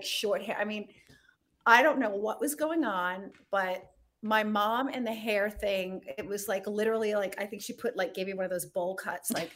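A woman from Rhode Island, a fashion designer guest, talking in a relaxed, chatty way full of fillers. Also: medium pitch, a little hiss or hum. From United States, Rhode Island. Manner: chatty, relaxed, many fillers like "like" and "you know". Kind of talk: casual interview chat.

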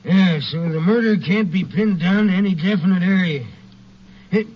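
A railroad detective played by a man barks out blunt, slangy orders in a high male voice. He is dutiful and suspicious, straight out of an old western radio play, on a dull recording with faint noise. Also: moderate pace, close to an everyday voice. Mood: grim, businesslike. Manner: blunt, commanding, folksy slangy talk. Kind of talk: 1950s western radio drama acting.

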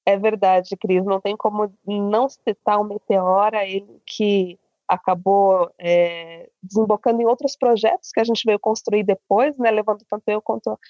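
Uma mulher brasileira, convidada de podcast e produtora, falando de forma entusiasmada e descontraída. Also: female voice, mid-range voice, fairly even intonation, normal speed, hardly any pauses, darkish tone, no echo, rough recording. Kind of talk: podcast conversation.

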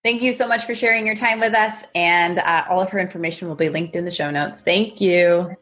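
A woman's voice: fairly low pitch, a little fast. A woman hosting a podcast, talking in an encouraging, conversational way and often saying "like". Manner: warm, encouraging, uses "like" a lot. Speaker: podcast host. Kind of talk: casual conversation and scripted outro.

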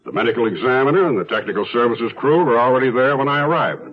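An actor playing a matter-of-fact, methodical New York detective in a 1970s radio drama. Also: male voice, medium pitch, fast, a crowd in the background, lightly acted. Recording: lo-fi 1970s radio recording, narrow band.

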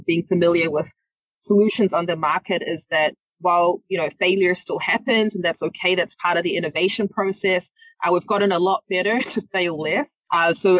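A woman's voice: on the low side, fairly bright tone, no echo, poor audio. A woman with a New Zealand accent, a podcast guest who answers questions fluently but with many fillers and restarts. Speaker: guest, innovation leader.

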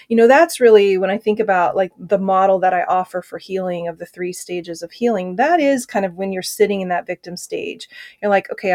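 A woman's voice: medium pitch, a little mellow, dry recording, studio quality. A woman who works as a trauma healing coach, answering interview questions in an earnest, reflective way with frequent fillers.

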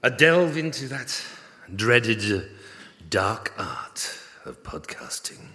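A man's voice: fairly low pitch, sing-song, very slow, bright, forceful tone. A man introducing a panel on stage in a playful, mock-grand way. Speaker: event announcer introducing a panel. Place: live event hall. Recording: echoey hall with long reverb.

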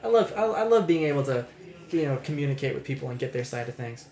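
A man's voice: medium pitch, animated, fast, mellow, roomy sound. A young man, talking candidly and rambling as a podcast host, with lots of restarts and swearing. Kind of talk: casual podcast chat.

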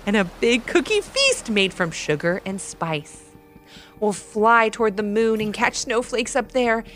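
A woman's voice: high voice, a bit bright, pitched above the performer's own voice. A woman voicing an eager, earnest young girl in a storybook, speaking in a pleading, sing-song way.

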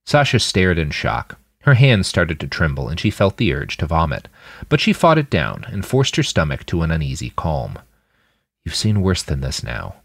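A man's voice: sits low, huge pitch swings, moderate pace, fairly bright tone, dry recording. A man reading a story aloud as scripted audiobook narration. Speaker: author reading his fiction podcast.

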